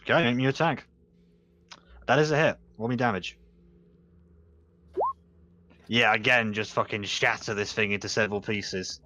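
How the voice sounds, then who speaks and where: A man's voice: on the low side, fairly even intonation, brisk, sharp tone, slight background noise. A man running a tabletop game as game master, explaining rules with frequent restarts and corrections. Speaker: dungeon master.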